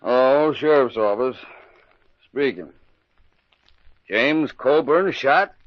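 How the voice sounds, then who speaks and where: An actor playing a steady small-town sheriff in a 1940s radio drama, calm and measured. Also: male voice, mid-range voice, no echo. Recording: muffled old radio broadcast.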